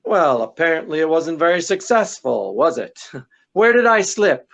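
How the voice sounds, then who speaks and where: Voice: mid-range voice, sing-song, unhurried, vocal fry, fairly bright tone, full character voice. An actor playing a wisecracking, unflappable male amateur detective in 1940s radio style, with a droll, teasing, hesitant delivery. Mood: wry, amused. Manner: droll, sardonic, chuckling hesitations.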